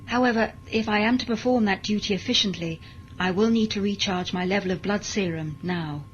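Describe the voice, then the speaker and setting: A woman's voice: medium pitch, fairly quick, dull audio, a little hiss or hum, natural voice. An actress playing an obedient, emotionless bionic woman who speaks formally and precisely in a science fiction audio drama. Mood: detached. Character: obedient, emotionless bionic female servant with erased memory.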